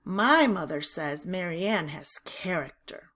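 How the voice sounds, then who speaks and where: A woman reading a children's novel aloud in expressive, deliberate narration. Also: on the low side.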